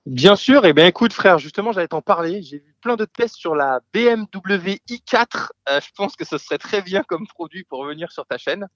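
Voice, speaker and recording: A man's voice: high voice, animated, normal speed, hardly any pauses, a bit bright, dry recording. A man chatting casually and jokingly over a phone call from inside a car, familiar with the person he's calling. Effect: voice heard through a phone call. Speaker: phone caller, friend of the host. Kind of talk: casual chat. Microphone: smartphone on a call.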